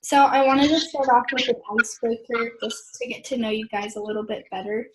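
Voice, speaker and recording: A young American woman casually facilitating a small-group discussion, often clearing her throat. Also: a little high, steady tone, brisk, background noise. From Idaho, United States. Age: young adult.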